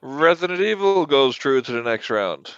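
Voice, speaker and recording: A man of average pitch hosts a casual panel show. His voice is recorded dry and close to roomless, with a slightly dull, lo-fi sound. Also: lively intonation, normal speed, clean tone, dry recording. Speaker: host.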